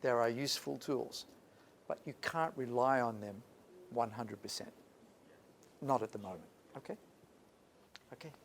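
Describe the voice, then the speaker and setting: A man's voice: medium pitch, slight background noise. A male lecturer giving a live workshop talk to students, emphatic and repeating points for stress. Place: lecture room.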